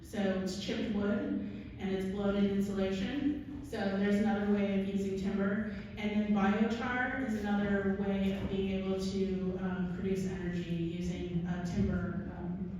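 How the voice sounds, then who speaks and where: A woman's voice: medium pitch, flat delivery, normal speed, warm tone. A middle-aged American woman gives a conversational conference talk at a podium. She sounds far from the microphone in a big, very reverberant hall, with faint noise behind her. Her delivery is informal, with frequent little check-ins to the listeners. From Oregon, United States. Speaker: keynote speaker, architect. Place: large hall, at a podium on stage. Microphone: gooseneck podium mic, filmed from audience distance.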